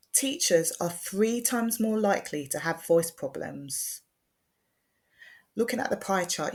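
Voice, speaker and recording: A woman, a speech and language therapist, giving a measured, scripted presentation that explains as it goes. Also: low-pitched.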